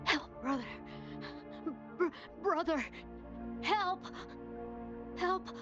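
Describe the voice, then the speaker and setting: A woman voicing a video game monster that echoes a lost young girl's cries in halting, repetitive pleading. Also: very high-pitched, heavily acted. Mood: pleading, desperate. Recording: muffled game capture under loud music.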